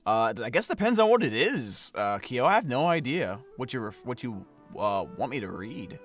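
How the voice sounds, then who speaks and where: A man streaming a game as a voice actor, talking casually with halting, chuckling asides. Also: mid-range voice, big pitch swings, soft background music. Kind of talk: casual gameplay commentary.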